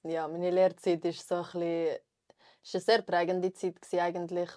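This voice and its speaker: A woman's voice: lowish voice, flat delivery, moderate pace, mellow, no echo, clean studio sound. A young woman with a Swiss German accent, a guest talking earnestly and chattily in a podcast conversation. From Switzerland. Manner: earnest, chatty, many fillers like voll and so. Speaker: guest rapper.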